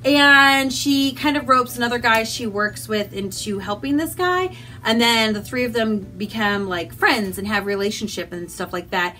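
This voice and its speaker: A woman chatting casually as a book vlogger, animated and rambling, with self-deprecating asides. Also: on the high side, moderate pace. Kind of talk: casual chatty vlog to camera.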